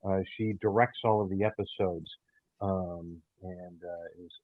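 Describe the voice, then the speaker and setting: A man speaking haltingly in an interview as an audio drama writer, with frequent hesitant pauses. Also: deep voice, steady tone. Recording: tinny, band-limited call audio, roomy echo.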